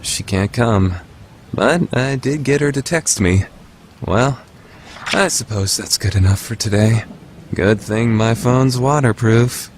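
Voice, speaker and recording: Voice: mid-range voice, takes it slowly, slight background noise, close to an everyday voice. An actor playing an earnest, composed teenage boy, a student council president, in an anime fandub radio play. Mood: resigned.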